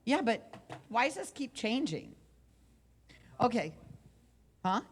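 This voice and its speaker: Casting: woman in her forties, coach on a conference stage with a handheld mic, loud and chatty, repeats herself. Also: a little high, big pitch swings, slow, husky. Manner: loud, conversational, with repeated phrases. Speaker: motivational speaker and coach. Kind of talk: live motivational talk. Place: hotel conference room stage. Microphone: handheld microphone.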